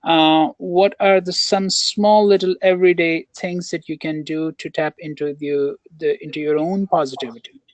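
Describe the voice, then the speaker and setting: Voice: mid-range voice, steady tone, normal speed, no room sound. Counselor, hesitant, lots of um and uh, restarts, dry close sound, video call. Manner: hesitant, many fillers and restarts. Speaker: counselor and program researcher. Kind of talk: webinar Q&A answers.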